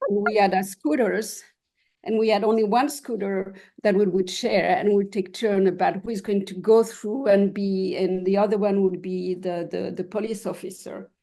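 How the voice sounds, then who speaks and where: Halting older woman, mellow interview voice. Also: mid-range voice, steady tone. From Switzerland and France. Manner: halting, searching for words. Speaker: artist guest being interviewed. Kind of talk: reflective casual interview answers.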